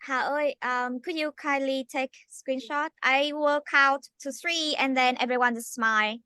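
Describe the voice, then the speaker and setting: High bright lady hosting a video call session, polite and formal, dry sound. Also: steady tone, normal speed, no echo. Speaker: session host.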